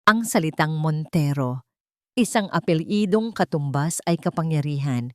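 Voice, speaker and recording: Low-voiced Filipino woman narrating drama. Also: expressive, moderate pace, no room sound, studio quality. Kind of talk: dramatic scripted storytelling narration.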